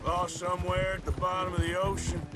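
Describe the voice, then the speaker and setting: An actor playing a grim, world-weary American outlaw, matter-of-fact and resigned, in a western game drama. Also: male voice, high-pitched, monotone, a little fast, lightly acted. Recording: loud music over the dialogue. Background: music, horse hooves.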